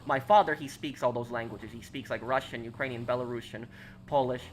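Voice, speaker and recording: An American man in his twenties talks to his stream chat in a loose, hesitant way, full of "uh"s and restarts as he answers viewer questions. His pitch sits in an ordinary middle range. A faint hiss of room noise runs underneath. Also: steady tone, fairly quick, rough recording. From United States. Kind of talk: casual live-stream chat.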